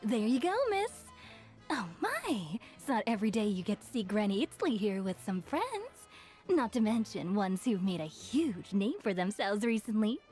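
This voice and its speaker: A woman's voice: medium pitch, big pitch swings, moderate pace, a little mellow, close to an everyday voice. An actress playing a cheerful, chatty, teasing young tavern keeper woman in an anime-style game. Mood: friendly. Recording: music behind the voice.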